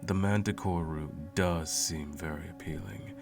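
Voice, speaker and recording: Male actor as evil sorcerer, bottomless bass, heavy gravelly fry, theatrical menace, haughty cruelty, ASMR fantasy roleplay. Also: fairly even intonation. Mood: mocking, domineering. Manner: slow, menacing, condescending, theatrical drawl. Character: cruel, smug, all-powerful evil male sorcerer, lonely. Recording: music playing under the voice. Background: music.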